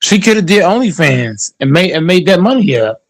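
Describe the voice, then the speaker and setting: A man in his late twenties holds forth in loose, crude talk, repeating himself and stumbling over restarts as he builds a point up. His voice sits a little high for a man. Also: very animated, moderate pace, vocal fry. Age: late 20s. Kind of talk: casual profane chat.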